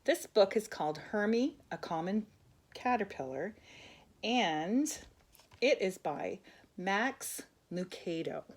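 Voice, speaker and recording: An older woman reading a children's storybook aloud in an expressive way, as a grandmother would to young listeners. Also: mid-range voice, takes it slowly. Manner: expressive, asks the listeners questions.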